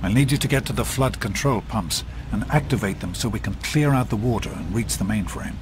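Low-voiced earnest scientist father played by a man. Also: a little fast, lightly acted. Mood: explanatory. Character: earnest, caring middle-aged scientist father. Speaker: video game character actor. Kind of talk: scripted game dialogue. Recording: game audio with clear background noise.

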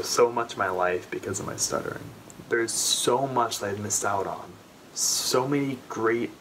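Young man who stutters, slow and low. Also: sharp tone, slight background noise, rough recording. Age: 20s. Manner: stutters, with repeated sounds and restarts. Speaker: vlogger. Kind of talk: heartfelt personal talk to camera. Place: small bedroom, indoors. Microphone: camera in front at close range.

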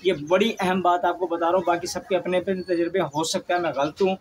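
A man's voice: high voice, little pitch movement, quick pace. A man with a Pakistani accent giving an informal how-to vlog, explaining emphatically and repeating himself. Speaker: angler presenting a fishing tutorial. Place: outdoors in a park, later another spot after leaving it. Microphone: phone camera, some distance away. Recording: distant mic, noisy ambient background.

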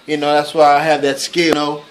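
A man in his forties from Los Angeles, joking and bragging as a cook in a casual audition pitch, filmed on a phone at home. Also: monotone, fairly quick, slight background noise, poor audio. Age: 40s. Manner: jokey, boastful, lots of fillers. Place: small home kitchen and living room. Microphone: handheld phone camera.

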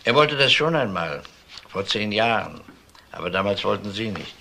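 Deep-voiced, probing police detective. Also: male voice, very animated, normal speed, vocal fry, pushed and bright, heavily acted. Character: police detective, probing, methodical, world-weary man. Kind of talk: German TV crime drama dialogue.

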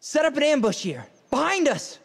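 A man's voice: extremely high pitch, very animated, moderate pace, vocal fry, big-room sound, exaggerated voice. A man voicing a cocky, playful young graffiti-artist fighter in a video game, brash and teasing with slangy short callouts. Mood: brisk, confident.

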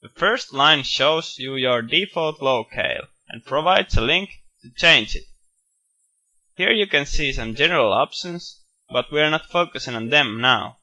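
A man walking through a software screencast, explaining each step in a steady, matter-of-fact way with bits of enthusiasm. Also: medium pitch, measured pace. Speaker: software tutorial presenter. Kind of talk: screencast walkthrough narration.

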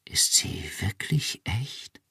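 A man's voice: low voice, lively intonation, takes it slowly, no echo, studio quality, exaggerated voice. A man voicing an earnest young wife who pleads insistently and fervently. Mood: hushed awe.

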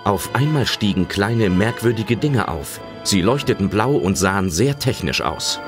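A man with a very low voice narrates a children's audio drama. His scripted storytelling is lively and varied, with clear music behind him. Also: a little slow.

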